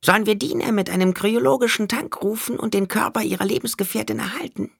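A man voicing a scheming male dwarf in an audiobook, with a squeaky, gravelly falsetto and a sly, wheedling manner. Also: steady tone, fast, dry recording, full character voice. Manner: wheedling, unruffled.

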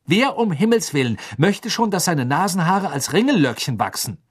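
Fussy teen witch girl, lecturing, raised pitch, read by a man, husky edge. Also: sing-song, moderate pace, exaggerated voice. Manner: earnest, lecturing. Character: bookish teenage witch girl, insistent and fussy.

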